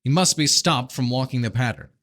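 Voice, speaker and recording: A man's voice: mid-range voice, brisk, a bit bright, lightly acted. A man reading a novel aloud as a commanding fantasy prince, speaking in terse, insistent phrases. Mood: urgent. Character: urgent, commanding fantasy prince warning of catastrophe.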